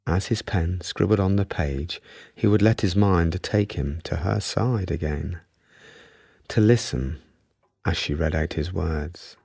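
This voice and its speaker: Male storyteller for radio drama narration, deep register with plenty of vocal fry. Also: measured pace, darkish tone, dry recording, studio quality.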